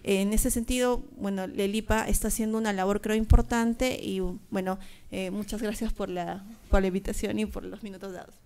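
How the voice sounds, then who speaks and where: A woman giving a semi-formal conference talk, halting and repeating words as she searches for them. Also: medium pitch, steady tone, a little mellow, smooth. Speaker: conference panelist.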